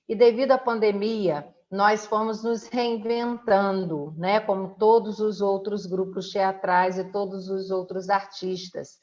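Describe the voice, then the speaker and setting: A middle-aged woman from the of Rio de hosts a conversational interview from home, often halting to search for words. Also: medium pitch, a little mellow, highs cut off. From Brazil. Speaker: interviewer and host. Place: indoors at home.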